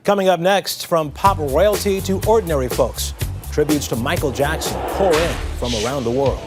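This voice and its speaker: A man reading the evening news as anchor, in a steady, measured studio delivery. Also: on the high side, loud music behind the voice.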